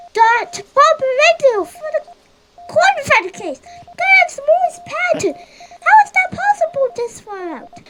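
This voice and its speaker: A performer playing an uneasy field reporter lost in a looping forest, sending halting dispatches over the radio in an eerie audio drama. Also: very high-pitched, heavily acted. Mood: unsettled, alarmed. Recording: very noisy radio transmission with clicks.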